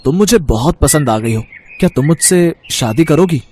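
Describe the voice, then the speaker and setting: A man's voice: fairly high pitch, animated, moderate pace, darkish tone, a little hiss or hum, lightly acted. A man playing a wicked, lovesick, scheming snake charmer in a cartoon, with a melodramatic manner. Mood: eager. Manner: melodramatic, pleading and gloating.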